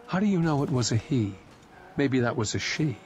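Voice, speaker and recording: A man's voice: mid-range voice, expressive, normal speed, a little mellow, slight background noise, close to an everyday voice. A man reading aloud voicing a young woman soldier who argues her point insistently. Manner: insistent, argumentative.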